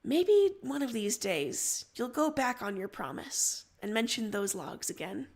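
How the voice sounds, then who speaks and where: A woman's voice: on the high side, sing-song, a little slow, a little mellow. A woman hosting a livestream, reading stories aloud and chatting casually. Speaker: livestream host and story reader. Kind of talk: story narration and casual livestream chat.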